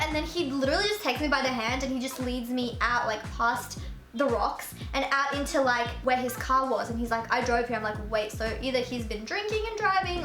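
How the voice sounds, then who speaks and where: Young woman vlogger from Russia, casual storyteller, high-pitched, roomy echo, music underneath. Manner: chatty, fills speech with "like", jumps high for quoted voices.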